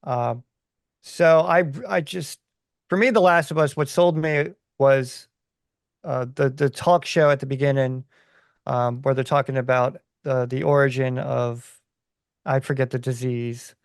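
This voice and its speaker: Podcast guy, lowish voice with lots of fry, dry close sound, chatting enthusiastically. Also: steady tone, a little slow, no room sound. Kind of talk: casual opinion chat.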